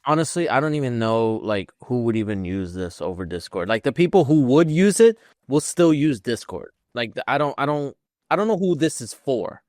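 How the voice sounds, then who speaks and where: A man's voice: mid-range voice, very animated, normal speed, darkish tone, dry recording, clean studio sound. A man co-hosting a podcast, chatting informally and bluntly. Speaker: podcast co-host. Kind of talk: casual chat.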